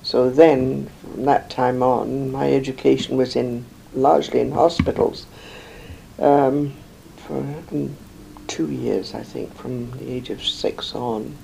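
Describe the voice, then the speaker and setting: Slow, gravelly older woman. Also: a bass voice, steady tone, warm tone, slight background noise, low-quality recording. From England. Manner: unhurried, rambling, with many pauses and fillers. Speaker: interviewee. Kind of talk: oral history recollection.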